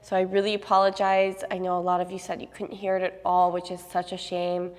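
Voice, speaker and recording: A young woman teaching a yoga class on a clip-on mic, giving calm, steady cues with a slight sniffle from a cold. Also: lowish voice, fairly quick, big-room sound. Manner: calm, steady cueing with soft fillers. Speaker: yoga instructor.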